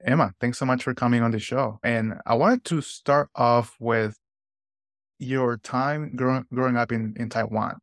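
A man's voice: medium pitch, measured pace, dry recording, clean studio sound, faint music behind. A man hosting a podcast, talking earnestly in short phrases with some restarts. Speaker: podcast host. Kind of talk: podcast intro and casual interview.

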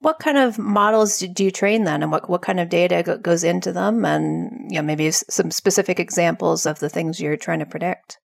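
A woman hosting a podcast, reading a scripted introduction and asking her guest questions in a measured way. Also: lowish voice, creaky. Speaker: podcast host.

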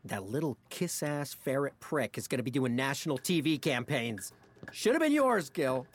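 An actor playing a crude, loyal, excitable office best friend in an audio drama, blunt and slangy with a husky voice. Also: male voice, mid-range voice, normal speed, lightly acted. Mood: indignant.

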